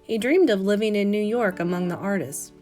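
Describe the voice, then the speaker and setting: A woman's voice: medium pitch, brisk. Woman reading a storybook over guitar. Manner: dramatic, emphatic exclamations and careful phrasing. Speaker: teacher reading aloud. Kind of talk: expressive read-aloud of a children's picture book. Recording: soft guitar music behind voice. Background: plucked guitar music.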